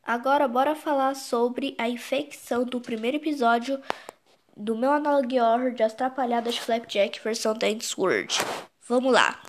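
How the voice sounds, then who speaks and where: A Brazilian woman with a fairly high voice talks casually to viewers as a video creator. The delivery is unscripted and rambling, often circling back and repeating phrases while searching for the next word. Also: moderate pace. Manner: rambling, repetitive, searching for words. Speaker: video creator explaining own animated horror episode. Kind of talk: casual unscripted recap commentary.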